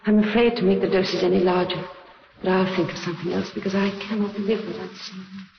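A woman's voice: medium pitch, fairly even intonation, a little fast, vocal fry, lightly acted. An actress playing a desperate, scheming woman voicing her love letter in a 1950s radio drama. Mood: desperate, plotting. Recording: echoey, noisy, dull old radio recording.

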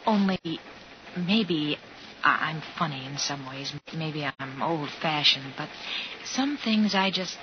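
A woman's voice: deep voice, lively intonation, slow. An actress playing a chatty, prim, homespun young woman in a 1950s radio drama, gushing and easily flustered. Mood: chatty, hesitant. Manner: gushing, flustered, old-fashioned exclamations. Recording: muffled, noisy 1950s radio recording.

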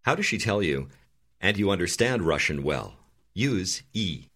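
A deep-voiced man narrates a language lesson, giving calm and clear prompts. His low voice still moves up and down expressively as he guides each exercise. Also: unhurried. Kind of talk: scripted instructional narration.

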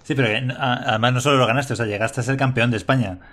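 A man's voice: medium pitch, fairly even intonation, fast, a bit bright. Curious Madrid interviewer man. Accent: Spain Spanish. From Madrid, Spain. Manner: curious, affirming with short backchannels. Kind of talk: casual interview chat.

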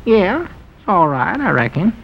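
An actor playing a dry, plain-spoken old storekeeper with a slow, teasing drawl, in a vintage radio comedy. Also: male voice, high voice, big pitch swings, creaky, husky, heavily acted. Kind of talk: 1930s-50s radio comedy acting. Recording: dull old radio recording with faint hiss.

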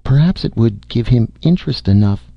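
A man's voice: mid-range voice, animated, normal speed, gravelly, dry recording, pitched above the performer's own voice. A man voicing a forthright, caring female nurse with an earnest and disapproving manner in an audiobook. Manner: earnest, icy when crossed. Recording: muffled audio.

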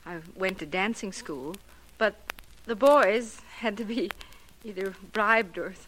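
A woman's voice: mid-range voice, unhurried, a bit bright, a little hiss or hum, lightly acted, poor audio. An actress playing a plain, resigned woman of thirty-four in a 1940s radio drama, confiding in hesitant, stammering phrases. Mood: wistful.

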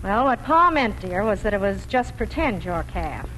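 A woman playing a practical farm mother in a 1940s radio drama, patient and matter-of-fact as she gently corrects. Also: mid-range voice, big pitch swings, normal speed. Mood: patient, firm. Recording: faintly noisy vintage radio recording.

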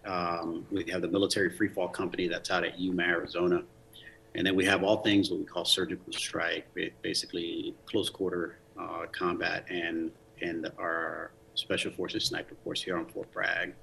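Guest, male, 40s, from the Dominican Republic; very low pitch, bright forceful tone; earnest storyteller, lots of restarts. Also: flat delivery, moderate pace, vocal fry, slight background noise, rough recording. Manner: many restarts and fillers, earnest. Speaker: guest, Army command sergeant major. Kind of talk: casual interview storytelling.

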